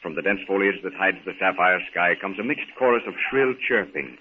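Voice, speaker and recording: A man announcing and narrating a 1930s radio serial from a script, with theatrical, deliberate, dramatic storytelling. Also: deep voice, lively intonation. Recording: tinny, noisy 1930s radio recording.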